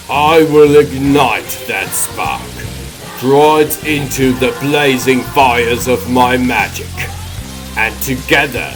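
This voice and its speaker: Villain sorcerer, male, played by a man: imperious and menacing, very drawn-out pompous lines, music clearly underneath. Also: medium pitch, lightly acted, poor audio. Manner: pompous, grandiose, drawn-out commanding delivery. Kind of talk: dramatic comic dub acting. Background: dramatic music.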